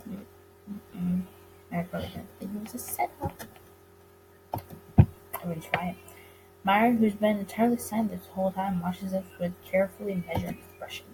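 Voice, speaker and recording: A woman's voice: medium pitch, steady tone, moderate pace, long pauses, over music, rough recording. A woman reading visual novel text aloud dramatically, with casual asides of her own.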